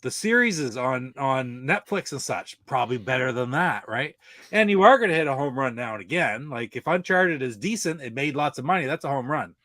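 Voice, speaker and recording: A man's voice: mid-range voice, expressive, fairly quick, pushed and bright, no echo. A middle-aged man in a casual podcast panel chat about games and movies, talking in a rambling way.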